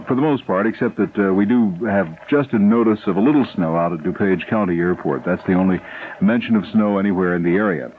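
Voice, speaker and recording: Low-voiced American male radio weather reader. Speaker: local radio news anchor. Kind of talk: broadcast weather reading. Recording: noisy lo-fi 1970s radio broadcast.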